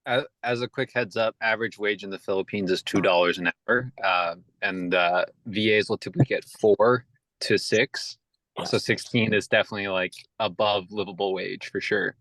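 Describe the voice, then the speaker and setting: A man's voice: on the low side, fairly even intonation, normal speed, fairly bright tone, no room sound, rough recording. A man adding a matter-of-fact remark in a casual panel chat.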